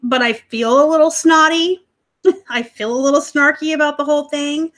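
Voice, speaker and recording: A woman's voice: high voice, moderate pace, a bit bright. A woman hosting a casual live stream from home, chatting with viewers, a bit snarky and laughing often. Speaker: livestream host, online reseller. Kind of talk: casual live-stream chat reading comments. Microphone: laptop live stream.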